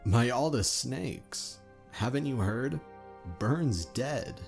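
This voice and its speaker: A man's voice: mid-range voice, lively intonation, takes it slowly, vocal fry, darkish tone, soft background music. A man playing a blunt, inquisitive male dragon outlaw in an audio drama, speaking emphatically. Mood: incredulous.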